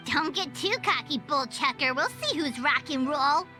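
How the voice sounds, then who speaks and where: A woman voicing a small, excitable fairy companion in a video game, with a squeaky childlike voice and a feisty way of talking. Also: moderate pace, faint music behind. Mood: defiant. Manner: speaks of self in third person.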